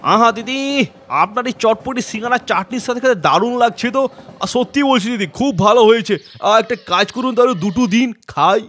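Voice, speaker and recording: A man playing exaggerated, animated townsmen in a children's cartoon story. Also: extremely high pitch, normal speed, slight background noise. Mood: delighted. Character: cartoon townsmen: customer, scheming rival vendor, bumbling crooks.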